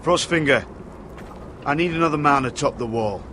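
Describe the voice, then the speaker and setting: An actor playing a brusque, curt commanding officer in a video game. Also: male voice, on the high side, normal speed, close to an everyday voice. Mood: brisk, curt. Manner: curt, ordering. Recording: loud noise behind.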